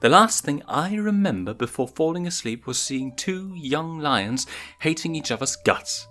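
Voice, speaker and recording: A man voicing a motherly, curious adult lioness who questions and gently teases, in a read-aloud story. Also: fairly high pitch, expressive, a little slow, voice pushed up.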